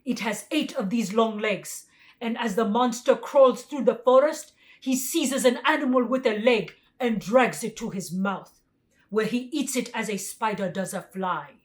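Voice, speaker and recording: A woman voicing a big, grave, respectful tiger in a children's storybook, speaking loudly and formally. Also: a little high, a little slow, close to an everyday voice. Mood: grave, alarmed. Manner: loud, emphatic, formal. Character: biggest male tiger, grave, respectful forest beast.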